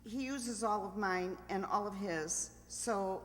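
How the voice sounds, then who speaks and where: A woman's voice: mid-range voice, slow, a bit bright. A woman playing a cheerful, self-assured married mind reader as a matter-of-fact contestant on an old-time radio quiz. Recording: long hall reverb.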